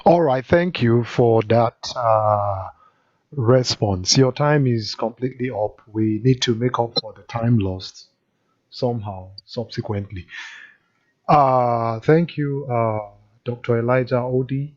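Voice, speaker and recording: Slow careful guy running a zoom election meeting, kinda muffled call sound. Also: mid-range voice, a little mellow. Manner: slow, halting, polite, apologetic. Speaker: meeting moderator. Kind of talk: chairing an online election meeting. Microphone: video call. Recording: muffled online call audio.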